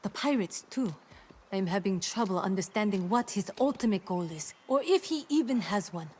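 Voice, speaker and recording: A woman's voice: medium pitch, expressive, normal speed, a little mellow, slight background noise, lightly acted. A woman playing an earnest, worried ally in a fantasy video game, with formal, articulate speech.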